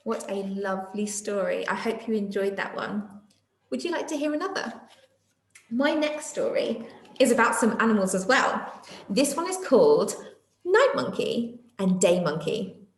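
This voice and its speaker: A woman reads children's stories in the manner of a schoolteacher, talking directly to her young listeners. Her voice is high and very expressive, with wide swings in pitch. It is recorded in an echoey room. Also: moderate pace. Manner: addresses young children with questions. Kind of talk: expressive children's story reading.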